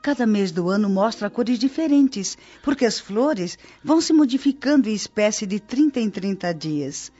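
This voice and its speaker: An actress with a Brazilian accent playing a devoted spirit-colony guide in a radio drama, explaining things in a reverent, articulate way. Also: female voice, mid-range voice, expressive, normal speed, few pauses, warm tone. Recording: dull lo-fi audio, clear music behind. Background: music.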